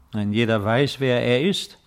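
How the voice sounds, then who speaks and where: An aging Roman emperor who has lost his power, proud and solitary. He speaks slowly and gravely, with stately weight, in the manner of 1950s German radio drama. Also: mid-range voice. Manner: solemn, measured, stately.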